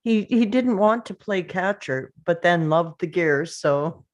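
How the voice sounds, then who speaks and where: A woman reading the part of a bereaved, nostalgic mother in a drama table read, halting and conversational but composed. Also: lowish voice, lively intonation. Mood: wistful.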